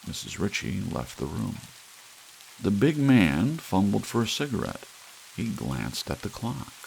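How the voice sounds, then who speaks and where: A man's voice: on the low side, unhurried, creaky, poor audio. A man reading a short story aloud as an ASMR audiobook narration, as an audiobook reader. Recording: steady hiss, rain sound underneath. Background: soft rain.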